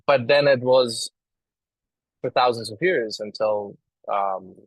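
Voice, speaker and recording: A man from Afghanistan speaking earnestly as a guest on a live online panel, pausing often and restarting his sentences. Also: mid-range voice, no echo, poor audio. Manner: earnest, halting, many restarts. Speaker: astronomy outreach guest. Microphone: video call.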